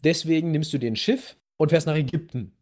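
A man's voice: on the high side, brisk, darkish tone. A man hosting a podcast, telling a story in casual conversation and sometimes searching for his words. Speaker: podcast host.

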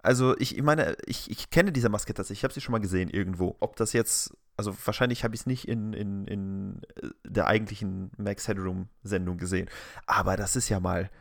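A man's voice: lowish voice, fairly quick, gravelly. A man co-hosting a casual chat, reacting in an informal, exclamatory way. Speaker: podcast co-host.

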